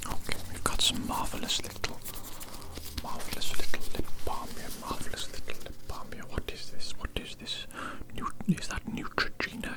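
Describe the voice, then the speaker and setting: A man whispering in a breathy, unvoiced voice, playing an eccentric, fussy doctor in an ASMR roleplay, with repetitive phrasing and a close microphone. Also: low-quality recording. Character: eccentric, fussy evil doctor pampering a captive patient. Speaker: ASMR performer. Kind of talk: whispered ASMR roleplay of a personal grooming session.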